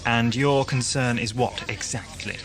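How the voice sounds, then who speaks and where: A man playing a guarded, sardonic time-travelling adventurer in an audio drama, speaking in a dry, wry, measured manner. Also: mid-range voice, steady tone, fairly bright tone, close to an everyday voice. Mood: dry, probing.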